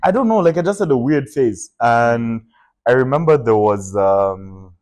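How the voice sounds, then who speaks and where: A young man from Zambia, a comedian guest telling stories in a relaxed podcast chat, with lots of fillers and laughter. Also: mid-range voice, unhurried. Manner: storytelling, laughs often, many fillers.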